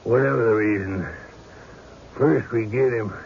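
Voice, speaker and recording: Slow, gravelly country sheriff. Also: male voice, fairly low pitch, a little mellow. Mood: skeptical, deliberate. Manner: slow, folksy, plain-spoken. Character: weary, reluctant small-town sheriff in 1850s Illinois. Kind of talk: old-time radio drama acting. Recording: dull, lightly noisy radio recording.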